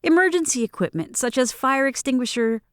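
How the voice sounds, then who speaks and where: Woman narrator, higher-pitched, dry studio sound. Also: animated, brisk, a bit bright, no room sound. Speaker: narrator of workplace safety training. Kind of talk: scripted instructional narration. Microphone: close studio microphone in a booth.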